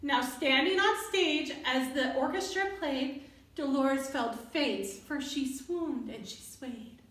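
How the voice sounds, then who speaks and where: A woman hosting a children's storytime, reading and talking to young listeners in an expressive way. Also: high-pitched, slow. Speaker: storyteller and children's session host. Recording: roomy, distant microphone.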